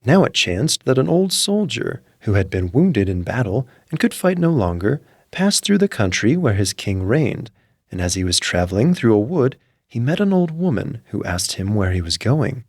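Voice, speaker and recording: A man narrating a classic fairy tale from a script in a measured storyteller's manner. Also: medium pitch, big pitch swings, no room sound, studio quality.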